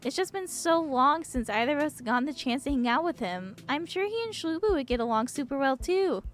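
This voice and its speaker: A woman's voice: sits high, animated, a little fast, faint music behind, pitched above the performer's own voice. Woman acting an eager, slightly nervous young woman. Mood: polite, eager.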